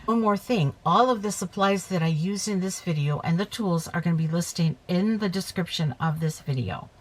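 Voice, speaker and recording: Woman host of a home craft tutorial, chatty and self-correcting, a bit hoarse, lower voice, faint background hiss. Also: moderate pace. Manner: chatty, talks while working, self-correcting. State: slightly hoarse, coming down with a cold. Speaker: paper craft demonstrator.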